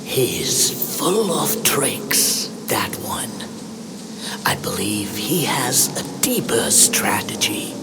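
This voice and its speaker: A man playing a grave, wary god in a video game, speaking slowly and deliberately in a hushed voice. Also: mid-range voice, big pitch swings, creaky, whispered, loud music behind the voice, exaggerated voice. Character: grave male god, wary, brooding watcher. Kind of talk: video game narration acting.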